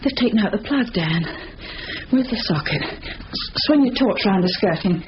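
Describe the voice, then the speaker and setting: A woman's voice: mid-range voice, animated, normal speed, close to an everyday voice. An actress playing a composed, practical woman in a burglary gang in a 1970s radio drama. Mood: composed, businesslike. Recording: dull old radio recording, faint noise.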